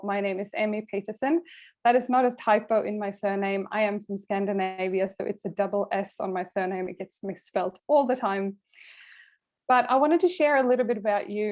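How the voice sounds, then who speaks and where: A middle-aged career coach from Sweden speaks, warm and direct as she leads an online masterclass. Her voice sits at an ordinary middle pitch for a woman, recorded on a computer mic over a video call.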